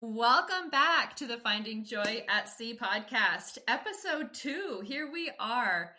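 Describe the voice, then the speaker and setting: A woman's voice: a little high, expressive, takes it slowly, noisy background. A woman in her forties hosting a podcast interview, talking in an enthusiastic, effusive way. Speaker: podcast host.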